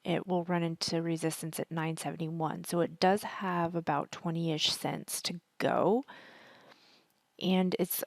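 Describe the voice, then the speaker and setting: A woman with a husky, partly hoarse voice, chatting casually and thinking aloud as she hosts a stock market screen-share commentary. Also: lowish voice, fairly even intonation, measured pace, no echo. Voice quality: husky, worn voice. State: partly hoarse from singing at a concert. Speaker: stock trading educator, video host.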